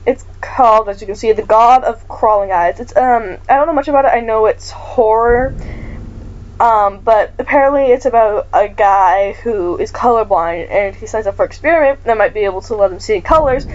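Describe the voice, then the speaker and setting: A woman's voice: medium pitch, moderate pace, highs cut off, slight background noise. Apologetic woman with a lingering cough. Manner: apologetic, chatty. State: recovering from a cough. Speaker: gaming channel host. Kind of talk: casual let's-play chat.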